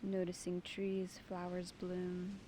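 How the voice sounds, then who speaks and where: A woman leading a guided meditation as its host, giving soothing, deliberate instructions in short phrases. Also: on the low side, completely flat delivery, background noise.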